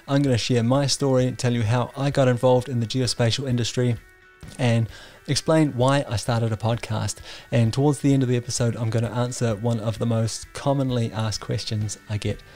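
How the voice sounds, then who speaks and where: A man's voice: medium pitch, steady tone, brisk, darkish tone, soft background music. A man, hosting a podcast and telling his own story in a candid, self-deprecating way, with small restarts. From New Zealand. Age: 40s. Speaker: podcast host. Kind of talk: personal storytelling monologue.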